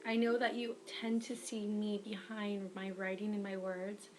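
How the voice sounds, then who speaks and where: A young woman with a raspy voice, speaking to viewers into a webcam. She gives earnest, friendly advice in a casual vlog. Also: mid-range voice, little pitch movement, moderate pace, a little mellow, a little hiss or hum. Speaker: writer giving advice.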